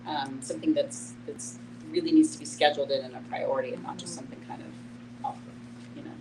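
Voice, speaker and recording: Low-voiced middle-aged woman, noisy distant recording. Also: fairly even intonation, fairly quick. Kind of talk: casual group discussion. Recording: noisy room, distant mic, hum.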